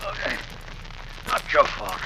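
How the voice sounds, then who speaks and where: A man's voice: slow, lightly acted. An actor playing an injured, dying old man in a 1940s radio drama, his voice weak and breathless. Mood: faint, pained. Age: older. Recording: very noisy old radio recording.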